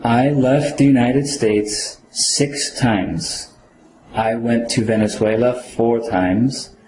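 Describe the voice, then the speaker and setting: Man, mock interview applicant, slow careful answers, mellow tone, echoey room. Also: medium pitch, a little hiss or hum. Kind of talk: scripted role-play.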